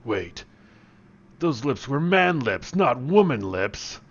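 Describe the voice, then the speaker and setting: A man's voice: mid-range voice, very animated, lo-fi sound, exaggerated voice. Man doing a melodramatic tough action hero. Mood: dramatic. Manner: deliberate, melodramatic one-liners.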